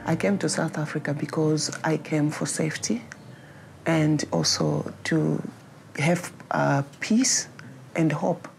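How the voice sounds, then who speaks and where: A woman from the Democratic Republic of Congo with a Congolese accent, speaking haltingly as an interviewee. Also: low-pitched, vocal fry, darkish tone, faint music behind. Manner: halting, searching for words. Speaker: interviewee, refugee.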